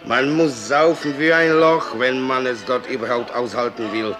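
An actor playing a hard-drinking, spooked sailor telling an eerie yarn in a theatrical, colloquial way, in a radio drama. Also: male voice, medium pitch, fairly even intonation, normal speed, over music, close to an everyday voice. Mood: indignant, uneasy.

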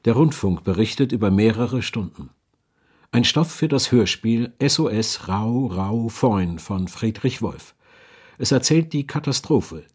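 A man's voice: lowish voice, breathy edge. A man reading explanatory documentary narration from a script in a sober manner.

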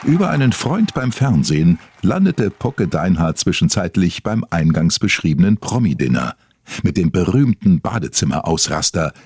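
A man narrating a comedy mock documentary from a script in an earnest, deadpan manner. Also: sits low, breathy edge, dry recording, exaggerated voice. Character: earnest male documentary narrator telling absurd stories.

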